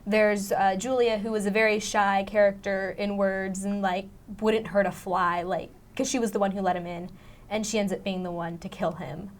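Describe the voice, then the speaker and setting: A woman's voice: mid-range voice, fairly even intonation, moderate pace. A young woman, a student actor, chatting in a studio interview in loose, informal speech full of fillers. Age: teens to young adult. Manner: informal, filler-heavy youthful talk. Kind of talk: casual interview chat.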